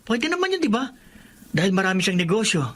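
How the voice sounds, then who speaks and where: An actor with a Filipino accent playing an earnest, coaxing college-age son in a radio drama. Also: male voice, sits high, very animated, fast, fairly bright tone, slight background noise. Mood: hopeful. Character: eager, hopeful college-age son and older brother.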